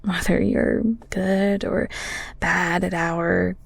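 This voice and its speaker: A woman acting in an intimate audio roleplay as a bashful, kindhearted, bungling young ghoul cook, flustered and stammering, with a breathy voice that often drops toward a whisper. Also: on the low side, level tone, drawn-out words, no echo, exaggerated voice. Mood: gentle, reassuring. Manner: flustered, stammering, giggly, rambling.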